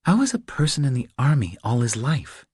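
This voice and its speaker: Man voicing a soft, husky, guarded woman. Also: medium pitch, huge pitch swings, a little fast, no echo, studio quality, pitched above the performer's own voice. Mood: probing. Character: nervous, inquisitive well-spoken woman, wife and mother.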